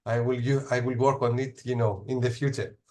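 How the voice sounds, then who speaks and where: Greek-accented male moderator on a video call, halting delivery, mid-pitched voice. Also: fairly even intonation, a little mellow. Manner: hesitant, halting with fillers. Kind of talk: online panel moderating.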